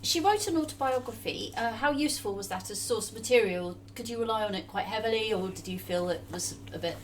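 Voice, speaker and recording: A woman's voice: a little high, brisk. A woman interviewing on a podcast in a casual, conversational style. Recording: slightly distant mic, faint hum.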